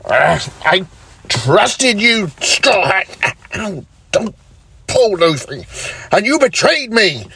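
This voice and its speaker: Actor playing a raspy, indignant captive pirate captain. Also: mid-range voice, very animated, slow pace, bright, forceful tone, no room sound, heavily acted. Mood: indignant, betrayed. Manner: melodramatic, spluttering protests. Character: tied-up pirate captain, indignant, theatrically protesting. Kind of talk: audio drama acting.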